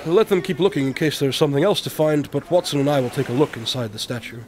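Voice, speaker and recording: A man's voice: fairly high pitch, expressive, fast talker, a little mellow, slight background noise, natural voice. A man playing an eccentric, observant detective in an audio drama, speaking in a precise, formal, absent-minded way. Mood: composed.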